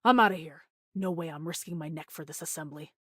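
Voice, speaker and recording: A woman's voice: deep voice, fast, fairly bright tone, husky, no room sound, studio quality. A woman voicing a wary, cynical female pirate with a blunt, scornful manner in anime game dialogue. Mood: exasperated. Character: wary, cynical pirate woman who refuses risky ventures.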